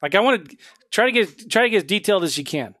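A man's voice: high-pitched, lively intonation, quick pace, sharp tone. A man hosting an interview, asking short questions and prompting his guest for more detail. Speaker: host.

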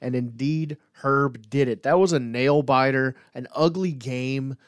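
A man's voice: medium pitch, a little slow, clean tone, no echo, clean studio sound. A man hosting a sports podcast in casual chat, with a jokey, enthusiastic way of telling stories.

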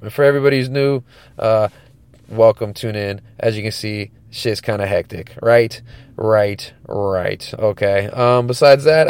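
A man's voice: medium pitch, creaky, darkish tone, smooth, no room sound. A congested man hosting his own podcast, talking in loose, laid-back slang with lots of fillers. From California. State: stuffed up, congested. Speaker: podcast host. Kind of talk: casual chat.

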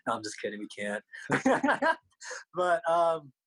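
A man's voice: on the high side, wildly swooping pitch, slow, a bit bright, slightly rough, poor audio. A man whose first language is Korean, a guest speaking loosely and jokingly, full of "like" and "you know". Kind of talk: casual interview chat.

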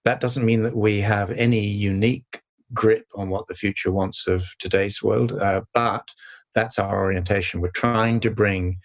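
An older man with a calm voice, answering interview questions in a measured, reflective way with many pauses and restarts. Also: on the low side. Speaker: sustainability consultant and author, guest.

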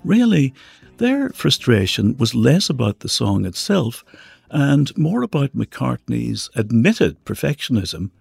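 A man's voice: mid-range voice, very animated, takes it slowly, vocal fry, darkish tone, slightly rough, no room sound. A man reading scripted documentary narration as the host of a music podcast.

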